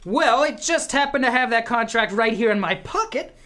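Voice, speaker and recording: A man playing an excitable, needy washed-up bandleader in a comic radio play, melodramatic and given to flowery exclamations. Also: very high voice, normal speed, heavily acted. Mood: indignant.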